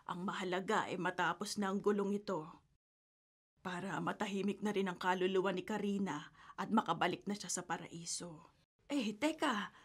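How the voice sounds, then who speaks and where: A woman's voice: medium pitch, normal speed, husky, close to an everyday voice. A woman voicing a worried village woman in a horror radio drama, concerned and urgent.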